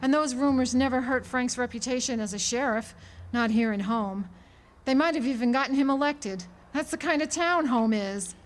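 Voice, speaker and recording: A woman acts out a defiant small-town woman weighed down by guilt. Her voice sits on the high side, and she confesses earnestly, with the dramatic delivery of a period radio play. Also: moderate pace, natural voice. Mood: pleading confession. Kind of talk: radio drama acting, 1940s setting. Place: live amateur radio drama performance at an amphitheater.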